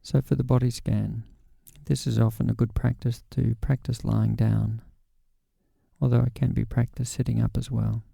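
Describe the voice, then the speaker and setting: A man guiding a body scan meditation in a calm, gentle, unhurried way with long pauses. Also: medium pitch, gravelly, husky, no echo, studio quality. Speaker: meditation guide.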